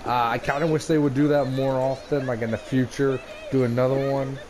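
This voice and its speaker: A man's voice: mid-range voice, level tone, brisk, crowd noise. A man from the United States giving casual, rambling let's play commentary into a gaming headset. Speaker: gamer recording a let's play. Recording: noisy headset mic, game ambience. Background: video game ambience.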